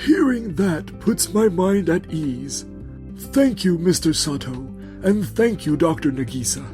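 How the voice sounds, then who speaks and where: A man voicing a particular, politely critical VIP patient in a manga dub, speaking in a measured, blunt way. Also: fairly high pitch, very animated, warm tone, faint music behind. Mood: grateful, trusting. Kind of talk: animated romantic-comedy dub acting.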